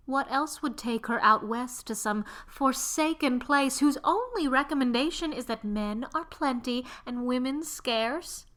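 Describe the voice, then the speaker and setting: A woman's voice: high voice, measured pace, few pauses, a bit bright. A woman playing a blunt, opinionated older village gossip in a dramatized audiobook. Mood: scornful. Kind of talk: dramatized audiobook character acting.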